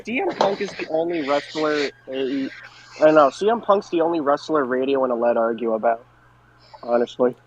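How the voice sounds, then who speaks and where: A man's voice: mid-range voice, normal speed, dull audio. A man chatting casually as a co-host on a podcast. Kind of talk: casual chat.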